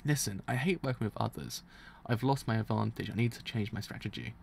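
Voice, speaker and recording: A man's voice: fairly low pitch, a little fast, creaky, a little mellow, a little hiss or hum. A man voicing a cunning, disdainful beast fighter with venom, scheming and contemptuous, in a story reading. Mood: calculating. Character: cunning, disdainful poisonous beast fighter who trusts nobody.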